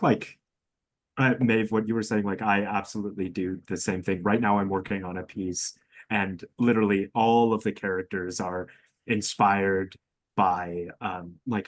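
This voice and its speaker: Young male co-host, slightly low voice, agreeable conversational delivery, dry studio-like sound. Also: no room sound. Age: young adult. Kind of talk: casual podcast discussion.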